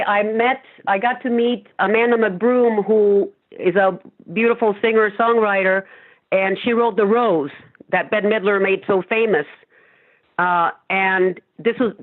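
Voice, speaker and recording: A woman from Cuba, a Spanish speaker, chatting as a guest singer-songwriter and telling rambling stories with frequent laughs. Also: mid-range voice. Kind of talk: casual interview chat. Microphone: phone. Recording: tinny phone line.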